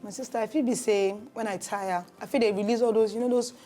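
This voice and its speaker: A woman's voice: on the high side, steady tone, fast talker, warm tone. A woman with a Nigerian accent, a doctor presenting a health segment on a casual television talk show, explaining step by step with fillers and restarts. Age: adult. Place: TV studio.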